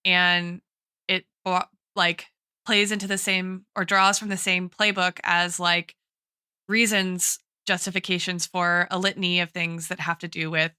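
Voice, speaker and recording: A woman's voice: fairly low pitch, flat delivery, unhurried, sharp tone, clean tone, dry recording, studio quality. A woman co-hosting a podcast, talking through arguments in an academic way, with frequent "like" and "right" as she goes. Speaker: podcast co-host. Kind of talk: analytical discussion.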